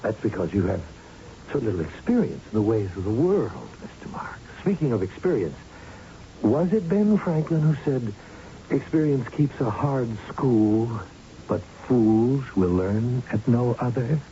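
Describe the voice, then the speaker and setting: An actor playing a condescending, evasive, corrupt middle-aged factory boss in a 1970s-style radio drama. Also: male voice, medium pitch, sing-song, measured pace, warm tone. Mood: smug, patronizing. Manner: evasive, patronizing, rhetorical. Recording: dull, noisy old radio recording.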